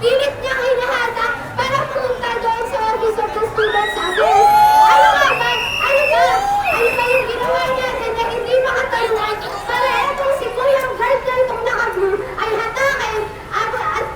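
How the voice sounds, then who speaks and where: A woman's voice: very high-pitched, moderate pace, pauses often. A young woman with a Filipino accent giving an indignant, projected protest speech as a student rally speaker, full of rhetorical questions. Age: young adult. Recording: echoey, distant, loud rally crowd.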